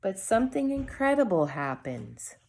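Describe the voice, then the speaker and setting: A woman reading a storybook aloud to children, expressively, with deliberate dramatic pauses and short asides to her viewers. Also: mid-range voice, big pitch swings, a little mellow.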